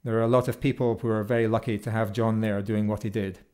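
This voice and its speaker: Mellow-voiced Scottish man giving a personal tribute. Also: on the low side, flat delivery, quick pace. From Perth, Scotland. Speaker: folk musician and speaker at a memorial. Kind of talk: personal tribute with storytelling to an audience.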